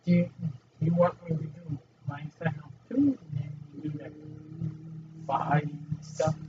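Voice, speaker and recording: A man's voice: a little high, takes it slowly. A young man co-hosting a casual podcast, asking naive questions in an easygoing way. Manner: lively, naive questions, talks in a mid-range voice. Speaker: podcast co-host. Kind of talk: casual chat. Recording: distant lo-fi mic with faint hiss.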